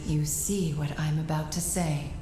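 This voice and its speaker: Mystic guide: lowish, composed, solemn, formal, roomy echo, fantasy game. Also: female voice, steady tone, unhurried. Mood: resigned, solemn. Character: calm, self-sacrificing female mystic guide to the hero. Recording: echoing voice over music.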